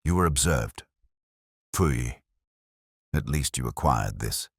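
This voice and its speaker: Guy doing a grumpy brilliant old detective, super low husky voice, clipped formal talk, audiobook style. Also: animated, slow, no echo, studio quality, full character voice. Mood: terse, grim. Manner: formal, terse, pronouncing. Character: large, brilliant, imperious older male detective, grumpy and deliberate.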